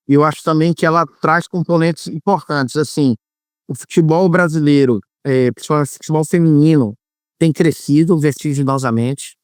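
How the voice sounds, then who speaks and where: A man from Bahia being interviewed, explaining at length in a measured way with frequent hesitations. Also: fairly high pitch, smooth, no room sound, clean studio sound. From Salvador, Bahia, Brazil. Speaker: former marketing executive, guest. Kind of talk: interview answers.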